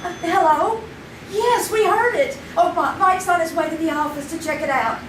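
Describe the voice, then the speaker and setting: A woman's voice: very high voice, moderate pace. A woman voicing an anxious 1950s housewife in a live old-time radio play. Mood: alarmed. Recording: roomy live stage, distant mic, noisy.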